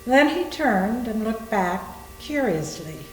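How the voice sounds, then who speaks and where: Female story narrator, unhurried, lively intonation, readers theater, echoey room with faint noise. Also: on the high side.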